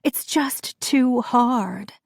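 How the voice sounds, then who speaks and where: A woman's voice: a little high, takes it slowly, darkish tone, no room sound, pitched above the performer's own voice. A woman narrator playing an overwhelmed mother nearing forty, flustered, apologetic and close to sobbing. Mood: despairing. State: on the verge of sobbing.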